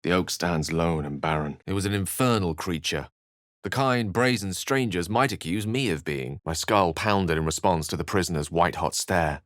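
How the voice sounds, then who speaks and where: A man's voice: low voice, normal speed, fairly bright tone, dry recording, clean studio sound. A man voicing a refined, witty wizard for a video game, speaking in an articulate, theatrical, wry way. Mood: grave storytelling. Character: eloquent, witty, refined human male wizard. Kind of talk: video game character voice lines and narration.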